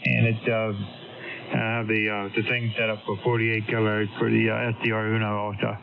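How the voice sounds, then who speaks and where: A man's voice: on the low side, completely flat delivery, a little fast, creaky. A man on a ham radio link, chatting casually and rambling with lots of fillers and repeats. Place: home radio shack. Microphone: boom mic on an arm, keyed by foot pedal. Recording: tinny noisy radio signal.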